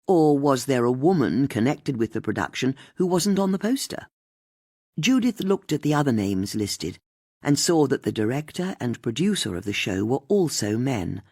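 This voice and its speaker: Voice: mid-range voice, very animated, normal speed, a little mellow, no echo, clean studio sound. An audiobook narrator reading scripted mystery fiction.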